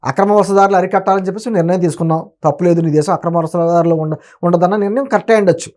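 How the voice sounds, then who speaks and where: A man's voice: high-pitched, steady tone, rapid-fire, darkish tone. A man giving opinionated political commentary as an interview guest, emphatic and argumentative, often posing rhetorical questions.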